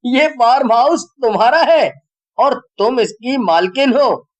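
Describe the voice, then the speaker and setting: Man voicing a high, slow cheeky young guy. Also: dull audio. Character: cheeky young man, party-loving joker.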